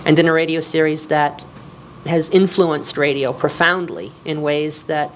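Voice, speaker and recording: A woman's voice: low-pitched, fairly even intonation, a little slow. A woman speaking as an author, giving a public lecture on history. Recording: live talk with faint noise.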